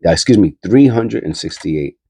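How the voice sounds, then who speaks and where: A man's voice: fairly low pitch, lively intonation, moderate pace, creaky, dark tone, slightly rough, no echo. A man with a stuffy, nasal cold, hosting his coin-hunting channel and rambling aloud with many fillers as he sorts pennies. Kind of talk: casual coin roll hunting commentary.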